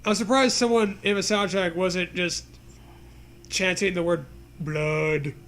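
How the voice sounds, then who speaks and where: A man's voice: high-pitched, steady tone, measured pace, fairly bright tone. A man co-hosting with casual joking banter and playful, dry quips. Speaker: comedy co-host.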